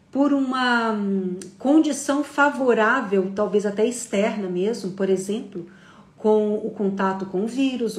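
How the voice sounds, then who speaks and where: A woman's voice: medium pitch, a little mellow. A woman giving a conversational live psychology lecture, explaining patiently and using many fillers. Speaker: psychology teacher. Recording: roomy echo.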